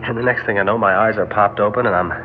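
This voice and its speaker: An actor playing an eager, wonderstruck young space explorer in old-time radio drama style, excitable and dreamy. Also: male voice, on the low side, fast, natural voice. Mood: excited, restless. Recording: dull old radio, music behind.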